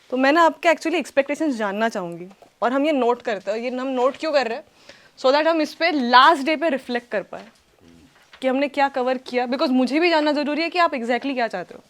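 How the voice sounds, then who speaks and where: High-pitched Indian woman teaching. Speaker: workshop teacher. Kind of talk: interactive teaching, mixing Hindi and English.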